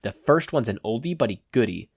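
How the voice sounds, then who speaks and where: A man voicing a gruff, bullying male gangster with a jeering manner. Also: mid-range voice, expressive, fairly quick. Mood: menacing glee. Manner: grunting, jeering.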